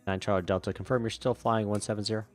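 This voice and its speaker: A man acting as a virtual air traffic controller, switching between radio phraseology and casual, relaxed chat to a stream. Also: on the low side, fast, faint music behind.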